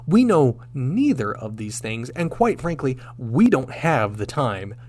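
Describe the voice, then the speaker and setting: A man's voice: mid-range voice, big pitch swings, a little mellow, a little hiss or hum. Commanding starship captain, acted by a man. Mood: persuasive, grave. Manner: deliberate, commanding, rhetorical pauses. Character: confident, shrewd male Federation starship captain. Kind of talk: sci-fi radio drama acting.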